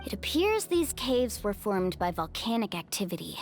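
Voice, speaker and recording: A woman's voice: mid-range voice, very animated, normal speed, soft background music. A woman playing an upbeat, confident young tour guide in an anime game, with a breezy, reassuring manner. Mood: encouraging.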